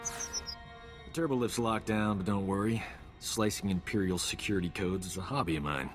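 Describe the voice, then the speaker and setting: An actor playing a cocky, flirtatious rogue doctor in a video game, with a breezy, self-assured manner. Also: male voice, lowish voice, normal speed, lightly acted. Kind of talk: video game cutscene acting. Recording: game music behind the voice.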